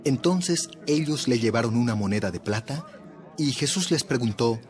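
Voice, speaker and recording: Brief: male narrator, mid pitch, lively delivery, soft music under. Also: moderate pace. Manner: expressive, storytelling. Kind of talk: dramatized scripture narration. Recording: voice over light background music.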